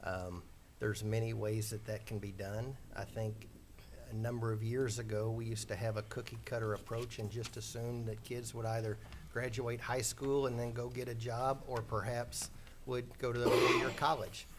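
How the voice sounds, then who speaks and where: A man in his forties giving measured, orderly answers at a school board candidate forum. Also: medium pitch, little pitch movement, slight background noise. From Missouri, USA. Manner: measured, orderly, with some fillers.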